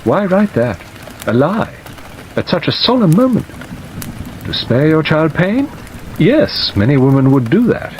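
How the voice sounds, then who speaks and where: A male narrator plays a stern older woman from a classic mystery audiobook. She is brisk, dry and precise, with firm, animated swings of pitch. Steady rain runs under the reading. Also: slight background noise, rough recording. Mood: indignant, emphatic. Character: stern, forthright older woman, a former governess, dry and unsentimental. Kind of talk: audiobook character dialogue.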